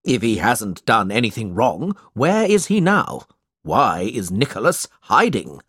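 A man's voice: on the low side, wildly swooping pitch, unhurried, fairly bright tone, slightly rough, no room sound, studio quality, exaggerated voice. A man voicing a stern, cold older uncle in a storybook, accusing and commanding. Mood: suspicious.

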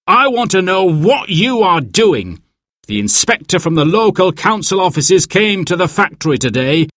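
A man voicing an aggrieved male factory manager in a storybook, speaking in a forceful, emphatic, indignant way. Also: high voice, very animated, moderate pace, dry recording, studio quality, pitched above the performer's own voice, full character voice. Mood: angry, upset.

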